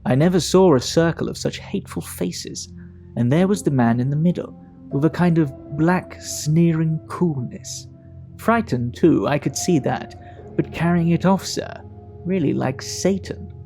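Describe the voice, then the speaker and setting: A Victorian gentleman played by a man in a mystery audio drama, with a fairly high, mellow voice. He tells a grim tale in measured, emphatic phrases, indignant but composed. Music plays underneath. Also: gravelly. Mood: intense, disdainful. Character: Victorian gentleman recounting a grim night-time incident, indignant yet composed.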